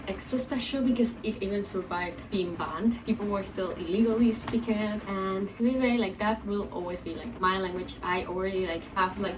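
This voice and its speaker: A young woman from the talks informally to camera in a medium-pitched voice, wandering through asides. She is recorded indoors at a slight distance from the mic, with clear storm noise behind her. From Basque Country, Spain. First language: Basque. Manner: informal, rambling, frequent "like" asides. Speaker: vlogger. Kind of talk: casual chat to camera. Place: indoors at home, under a skylight. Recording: distant mic, clearly noisy room, rainstorm. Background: storm rain noise.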